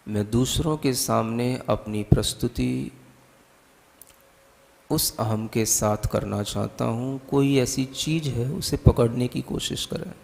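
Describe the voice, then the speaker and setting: A man with an Indian accent leading a guided meditation as a spiritual teacher, giving calm, deliberate instructions with long pauses. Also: medium pitch, vocal fry. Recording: long hall-like reverb.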